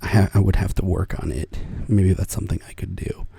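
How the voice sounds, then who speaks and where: Soft-spoken, gravelly, breathy American man. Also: low voice, steady tone, fairly quick, dry recording. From United States. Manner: soft-spoken, often dropping to a whisper; meandering, self-correcting, chuckles softly. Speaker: ASMR creator. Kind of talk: soft-spoken ASMR rambling chat.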